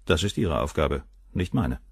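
An actor playing a self-assured, provocative doctor in a crime radio play, with a gravelly voice and a cool, superior, emphatic manner. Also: male voice, extremely low pitch, sing-song, moderate pace, no echo, clean studio sound, heavily acted.

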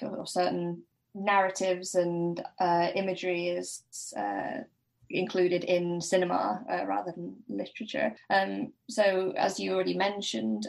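A woman's voice: lowish voice, gravelly, a little mellow. A woman speaking as an academic guest in an informal podcast discussion, hesitant and thinking aloud as she goes.

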